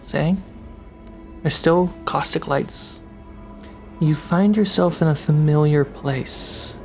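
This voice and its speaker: A game master narrating a tabletop fantasy game in a measured, dramatic way, pausing between phrases. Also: medium pitch, a little mellow, soft background music.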